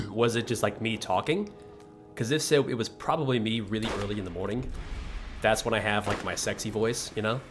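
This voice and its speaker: A relaxed man talks through a live game stream in a low, easy voice. He sits close to his desk mic in a small room, with faint game music behind him. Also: brisk. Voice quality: deep voice. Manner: relaxed, chatty, reads chat aloud. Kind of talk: casual live-stream chat. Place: small room facing a wall. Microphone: desk microphone, close.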